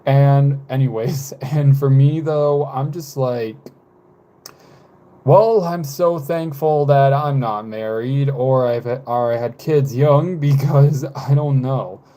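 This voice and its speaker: A man in his twenties chatting casually with live viewers, rambling and hesitant, with many fillers, self-corrections and soft laughs. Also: mid-range voice, slow pace. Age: 20s. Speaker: live streamer.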